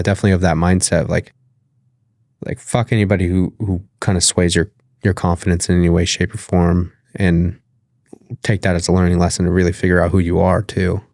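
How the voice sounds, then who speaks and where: A man's voice: deep voice, darkish tone, no room sound, studio quality. A man with a nasal voice, talking loosely as a podcast guest, with lots of fillers and casual swearing. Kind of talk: casual interview conversation.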